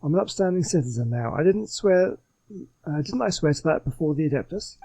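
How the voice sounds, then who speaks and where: A man's voice: on the high side, lively intonation, a little fast, gravelly, dark tone. A man voicing an earnest, polite reformed swindler from a video game, reading the dialogue in a wordy, scholarly way. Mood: defensive.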